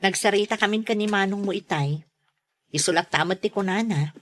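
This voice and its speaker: A woman's voice: medium pitch, brisk, lightly acted. A woman with an Ilocano accent playing a cautious, admonishing elder sister in a radio drama. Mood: cautious, teasing. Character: cautious, sensible elder sister who teaches. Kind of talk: Filipino radio drama acting.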